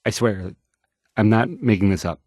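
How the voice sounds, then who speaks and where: A man's voice: fairly low pitch, normal speed, darkish tone, no echo, studio quality, lightly acted. An actor playing an awkward young male radio newscaster in a video game, nervous and hesitant, stammering and trailing off. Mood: baffled unease. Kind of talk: in-game radio news acting.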